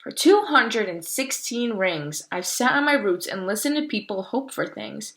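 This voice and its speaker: A woman voicing a kind old oak tree in a storybook, an earnest optimist who speaks with gentle determination. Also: mid-range voice, sing-song, moderate pace.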